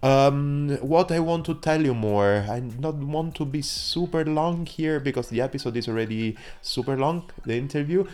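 A man from southern Italy with an Italian accent, hoarse from a cold, hosting his podcast in a chatty, rambling way full of fillers and restarts. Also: mid-range voice, a little mellow. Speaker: podcast host. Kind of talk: casual solo podcast intro.

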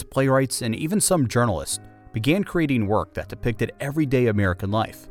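A man hosting a podcast, delivering scripted documentary-style narration. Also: mid-range voice.

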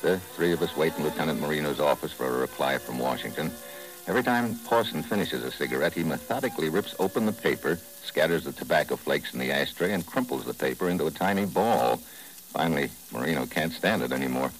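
An actor playing a tough, terse, wry American special agent in a 1950s radio drama, over an old hissy recording. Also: male voice, a bass voice, animated, a little fast, faint music behind, rough recording. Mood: terse narration. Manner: terse, hard-boiled, wry. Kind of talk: 1950s radio drama acting with first-person narration.

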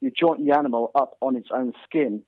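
A man's voice: medium pitch, fairly even intonation, fairly quick, dry recording. A man from North Wales, a guest hunter chatting casually in an interview, rambling with lots of restarts. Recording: tinny phone line.

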